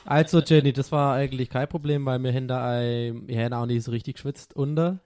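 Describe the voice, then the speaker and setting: A man's voice: medium pitch, fairly even intonation, fast, darkish tone, no echo. A man co-hosts a casual comedy podcast with a light Swabian touch to his German. He rambles, giggles through his own answers and pokes fun at himself. His voice sits in an ordinary middle register, recorded dry and close. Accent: light Swabian-tinged German. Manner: giggly, rambling, self-mocking. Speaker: podcast co-host.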